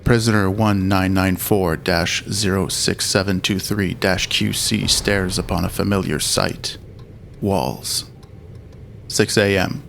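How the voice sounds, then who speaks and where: Male drama narrator, very low and creaky, reading scripted scenes over faint noise. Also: steady tone, slow, a bit bright. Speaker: narrator of an audio roleplay drama.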